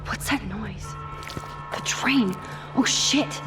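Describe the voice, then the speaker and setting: Earnest teenage art student over music. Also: female voice, on the high side, slow. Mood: urgent, determined. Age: young adult. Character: thoughtful, geeky, self-doubting teenage art student girl. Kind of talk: naturalistic video game acting.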